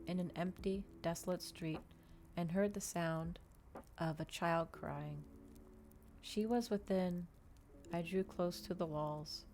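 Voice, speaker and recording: A woman reading a story aloud in ASMR style, with a measured, dramatic delivery in short phrases. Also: on the low side, a little mellow. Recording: clear background ambient noise.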